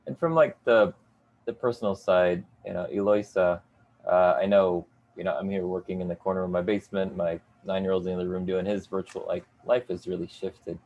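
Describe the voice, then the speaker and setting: Middle-aged guy hosting a panel on a video call from his basement, gravelly voice, lots of uhs. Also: low voice, fairly even intonation, brisk, highs cut off. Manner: upbeat, warm in addressing guests, frequent "uh" fillers. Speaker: panel moderator. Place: basement home office.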